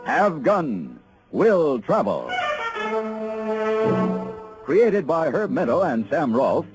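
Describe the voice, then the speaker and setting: A man's voice: mid-range voice, rough recording. A man announcing scripted credits in the style of a 1950s radio program.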